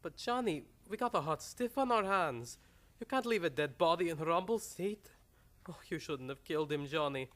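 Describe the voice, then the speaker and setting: A man's voice: a little high, lively intonation, moderate pace, fairly bright tone. A man playing a nervous, pleading crooked doctor and criminal sidekick in a radio play, in simple broken phrases. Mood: anxious pleading. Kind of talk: old-time radio play acting.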